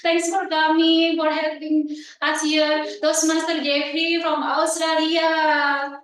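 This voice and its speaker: A woman's voice: sits high, little pitch movement, slow pace, a bit bright. A woman wraps up a club meeting. She thanks guests one by one in a loose, chatty way. She is caught from across a very echoey room. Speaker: meeting host. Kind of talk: closing remarks at a club meeting. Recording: echoey room, far from the mic.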